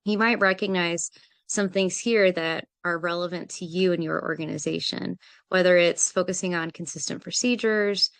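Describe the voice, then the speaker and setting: A woman's voice: fairly low pitch, fairly even intonation, moderate pace, vocal fry, no room sound. A woman hosting a business webinar, guiding the talk in a friendly, organized way with frequent "um"s. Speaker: webinar host, project manager.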